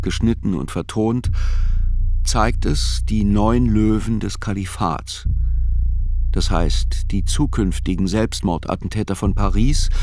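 An actor playing a sober court chronicler, narrating a radio play in a measured, grave and deliberate manner. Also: male voice, lowish voice, darkish tone, slight background noise. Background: music.

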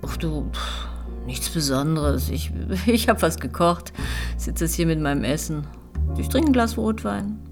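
An actress playing a tender, middle-aged policewoman and mother in a radio drama, speaking haltingly and naturally. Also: low voice, lively intonation, faint music behind. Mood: affectionate, wistful. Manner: halting, conversational.

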